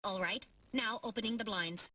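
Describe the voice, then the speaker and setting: A woman's voice: medium pitch, moderate pace, pushed and bright, no room sound, distant mic. A woman voicing a small, prim, literal-minded robot sidekick in a video game, precise and dryly sardonic. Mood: alert. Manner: precise, matter-of-fact, dryly teasing.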